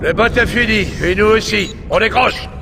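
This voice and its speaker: Creaky, curt male army lieutenant. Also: sits high, normal speed, background noise. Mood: urgent. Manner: curt, commanding. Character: gruff, brisk male army lieutenant in combat.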